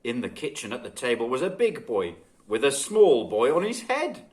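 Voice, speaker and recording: A man reading a children's story expressively and dramatically, with deliberate phrasing. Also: medium pitch, sing-song, a little mellow. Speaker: guest storybook reader.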